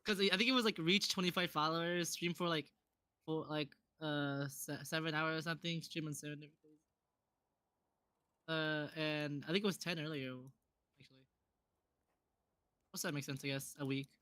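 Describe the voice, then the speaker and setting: A young man chats with friends during a game stream, explaining in halting bursts full of restarts. His voice is fairly high and bright, and it sounds dry and close to the mic. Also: steady tone, clear, resonant tone, no echo. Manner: quick, hesitant explaining with restarts. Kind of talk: casual chat while gaming.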